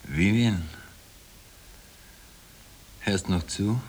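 A man's voice: low voice, drawn-out words, darkish tone, low-quality recording. An actor playing an Austrian former political prisoner, telling his story with wry irony in a radio play. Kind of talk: 1960s radio play narration. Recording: slightly hissy old tape.

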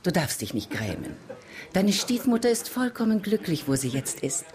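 Gushy batty medium lady, lowish voice swooping all over, radio play. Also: big pitch swings, moderate pace, darkish tone. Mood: gushing, airy. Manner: gushing, airy, grandly condescending. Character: effusive, eccentric spiritualist lady who talks with spirits. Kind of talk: German radio drama acting.

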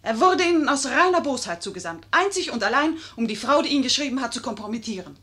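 A woman's voice: fairly high pitch, expressive, fast talker, fairly bright tone. An actress playing a clever, proud, passionate lady in a 1950s German radio play, with a theatrical, eloquent and pointed way of speaking. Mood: earnest, pleading.

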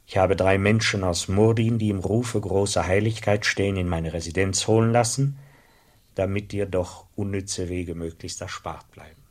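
A sceptical bishop of great authority in a radio drama. The older man speaks low and huskily, with a solemn, measured delivery. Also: fairly even intonation, darkish tone. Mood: measured, gracious.

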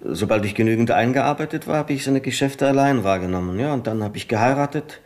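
An actor playing a humble, rattled secretary and war veteran who speaks haltingly, in a 1970s radio play. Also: male voice, mid-range voice, darkish tone, natural voice. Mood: earnest. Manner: hesitant, halting, earnest.

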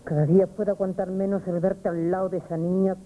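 An actress playing a sly, resentful scheming wife in a 1970s radio play. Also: female voice, on the low side, little pitch movement, fast talker, warm tone, natural voice, low-quality recording. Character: spiteful scheming wife Asunción. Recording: old radio broadcast with faint hiss.

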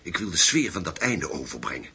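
An actor in a Dutch radio play voicing a probing journalist who smoothly flatters former Nazis. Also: male voice, deep voice, a little fast, heavily acted.

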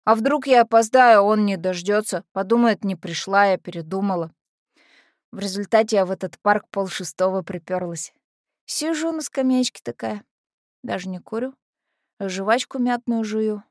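A woman's voice: mid-range voice, no echo, natural voice. Casting: adult woman as tough but naive lovestruck orphan teen girl, first-person dramatic monologue, colloquial street slang, confiding delivery, dry studio sound. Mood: excited, anxious. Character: brash lovestruck teenage orphanage girl telling her story.

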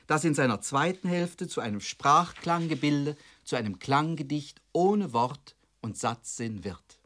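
A man's voice: on the high side, fairly bright tone. A man presenting a scripted, didactic lecture on literature in a formal manner, stressing key words.

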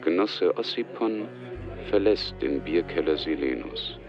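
A man's voice: low voice, lively intonation, measured pace, lo-fi sound, music in the background. A man reading scripted scene narration in a radio play.

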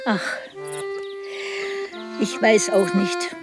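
Slow, hesitant older village woman. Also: medium pitch, steady tone, music in the background. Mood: hesitant, guilty. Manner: hesitant, sighing. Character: hesitant village widow considering remarriage. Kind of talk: radio drama dialogue.

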